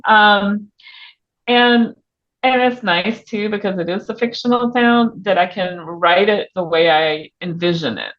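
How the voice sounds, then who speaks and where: A woman's voice: medium pitch, a little slow. A woman speaking as an author guest in a casual interview, informal and a little hesitant as she thinks aloud. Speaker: author guest on a book podcast. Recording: muffled, band-limited audio.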